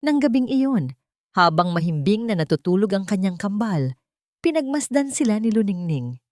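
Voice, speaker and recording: A Filipino woman reading a scripted love story in a measured, dramatic, sentimental way. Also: medium pitch, big pitch swings, a little mellow, no echo, clean studio sound.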